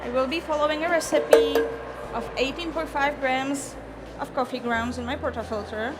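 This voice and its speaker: A woman's voice: sits high, fairly even intonation, over loud music. Czech-accented woman presenting at a competition. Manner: measured, careful, formal. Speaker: barista competitor. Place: coffee competition stage. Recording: noisy event venue ambience.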